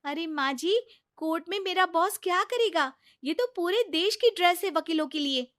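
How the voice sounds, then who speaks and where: Woman playing a high-pitched, exasperated young daughter-in-law. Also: lively intonation, normal speed, a bit bright. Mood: exasperated protest. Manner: protesting, earnest. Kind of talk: Hindi cartoon story voice acting.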